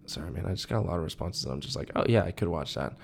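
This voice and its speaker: A man's voice: deep voice, animated, racing, gravelly, darkish tone, slight background noise. A young man co-hosting a podcast, chatting casually with lots of fillers and restarts. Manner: rambling, many fillers and restarts. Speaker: podcast co-host. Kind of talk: casual chat.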